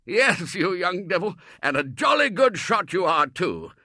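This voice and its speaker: A man voicing a blustering elderly Colonel who gushes loudly and stammers at times in a storybook reading. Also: sing-song, unhurried, no room sound, clean studio sound, exaggerated voice. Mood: gushing. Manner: booming, gushing, stammering at times. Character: blustering elderly male Colonel, loud and effusive.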